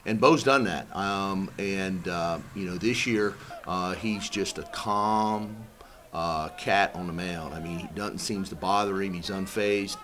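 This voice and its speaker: Middle-aged male coach, interview, very low voice, halting with fillers, faint noise behind. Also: fairly bright tone. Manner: halting, many fillers and restarts. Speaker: head baseball coach.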